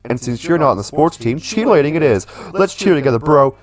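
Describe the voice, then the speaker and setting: A man voicing an earnest, excitable young werewolf jock from a video game, naive and bro-ish. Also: on the high side, brisk, voice pushed up, close to an everyday voice.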